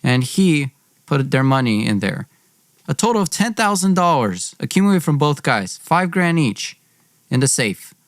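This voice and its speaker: A man's voice: mid-range voice, big pitch swings, normal speed, no room sound, studio quality. A young man hosting a podcast, telling a personal story in an informal, dramatized way. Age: young adult.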